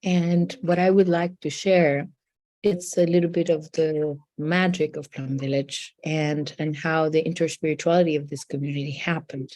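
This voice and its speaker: A woman from Costa Rica with a Costa Rican Spanish accent, telling an earnest, measured story on a video call. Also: low voice, fairly even intonation, a little mellow, no echo. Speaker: community member. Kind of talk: prepared remarks on video call.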